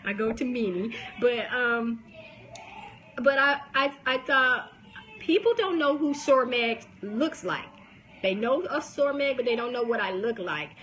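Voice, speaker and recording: A woman's voice: fairly high pitch, lively intonation, unhurried, fairly bright tone, highs cut off, a little hiss or hum. A woman in her forties with a youthful baby voice, chatting animatedly on a phone live stream about promoting books, with lots of restarts and laughs. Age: 40s. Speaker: book promotion coach and podcaster. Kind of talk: casual live-stream chat.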